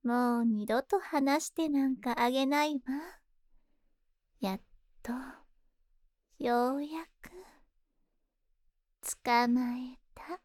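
A woman's voice: high voice, slow pace, pauses often, a little mellow, no room sound. A woman playing a seductive, possessive spider monster in a Japanese ASMR voice drama, tender and coaxing, lingering on her words. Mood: possessive, hungry. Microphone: close ASMR microphone in a dry studio.

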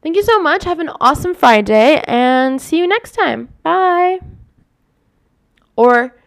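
Woman, young adult, podcast host, scratchy voice, a bit high, rambling casual talk. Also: lively intonation, slow pace, vocal fry. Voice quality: scratchy, deepens with long talk. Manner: rambling, self-correcting, upbeat sign-off.

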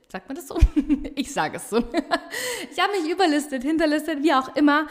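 A woman's voice: high-pitched, long reverb tail. A woman with a regional dialect hosting a motivational solo podcast, talking emphatically and energetically, often repeating phrases for emphasis. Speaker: business coach and podcast host.

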